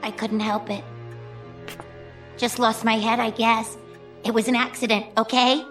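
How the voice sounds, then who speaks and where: An actress playing a bored, spoiled young granddaughter with a flippant, sarcastic manner in a radio drama. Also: female voice, fairly high pitch, level tone, unhurried, faint music behind, natural voice. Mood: petulant, defensive.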